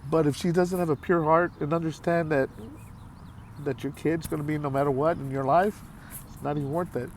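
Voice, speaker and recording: A man in his late fifties talking candidly to his viewers in a halting, heartfelt way, with many pauses and repeated phrases. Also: on the high side, steady tone, a little hiss or hum. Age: 50s. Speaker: vlogger. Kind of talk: candid talk to camera.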